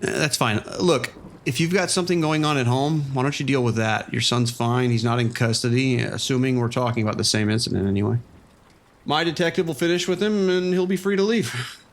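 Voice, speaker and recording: A man playing a gravelly, level-headed police sergeant. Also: medium pitch, fairly quick, natural voice. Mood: calm. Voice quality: calm, hesitant, informal. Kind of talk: audio drama acting.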